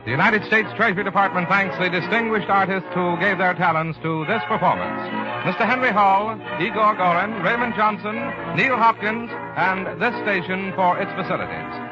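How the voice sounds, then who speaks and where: Loud orchestral music swells on a muffled old radio recording behind a male announcer. His voice is high for a man, and his delivery is formal 1940s broadcast style. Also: moderate pace.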